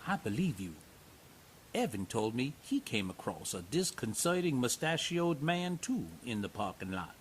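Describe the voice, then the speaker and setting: An actor playing a kindly man visiting a patient, gentle and reassuring in his speech. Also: mid-range voice, very animated, moderate pace, slight background noise, lightly acted. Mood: calm.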